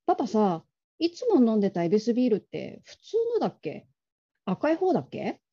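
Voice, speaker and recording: A woman's voice: on the high side, sing-song, fairly quick, mellow, breathy edge, exaggerated voice. A woman playing a young adult daughter who casually teases and wheedles her father in a radio drama. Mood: breezy. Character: coaxing, teasing grown daughter pleading with her father. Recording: dull lo-fi audio.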